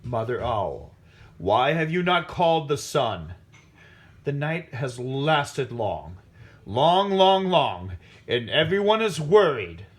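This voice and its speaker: A man acts out a commanding lion king from an animal folk tale. He addresses his council in drawn-out, emphatic proclamations, with a highly animated melody. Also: husky, exaggerated voice. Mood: solemn, concerned.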